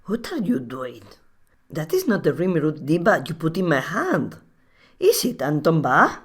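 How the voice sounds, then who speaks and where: Woman acting a fierce, low-pitched village woman. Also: wildly swooping pitch, moderate pace, breathy edge, heavily acted. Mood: suspicious. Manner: scornful, commanding, blunt. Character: fierce, bossy village woman spoiling for a feud. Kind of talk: comic radio play acting.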